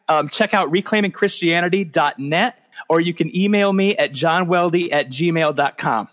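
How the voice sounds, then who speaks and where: A man's voice: a little high, fairly bright tone. A man hosting a casual podcast, talking in a rambling, self-deprecating way with lots of fillers and restarts. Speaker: podcast host.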